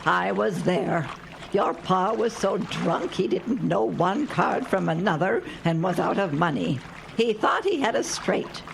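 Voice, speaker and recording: A woman playing a plain-spoken, protective older countrywoman in a radio play, her voice creaky. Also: lowish voice, lively intonation, measured pace, exaggerated voice. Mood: firm. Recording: clear water noise behind the voice.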